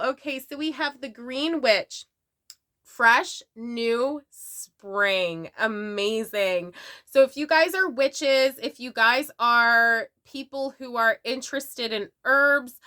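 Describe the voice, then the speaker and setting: A woman's voice: fairly high pitch, pushed and bright. A woman giving a casual tarot reading, bubbly and chatty, often tagging her lines with "okay?", a little sick and sniffly. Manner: bubbly, tags lines with "okay?" and "all right?". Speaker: tarot reader.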